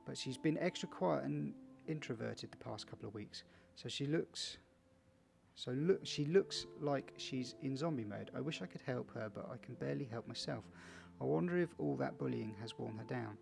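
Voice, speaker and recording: Man, medium pitch, creaky voice, casual let's play reading, chatty asides, small stumbles, faint background music. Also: moderate pace, a little mellow. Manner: chatty asides to viewers, stumbles over read text. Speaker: video game let's player. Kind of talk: casual commentary and reading game text aloud.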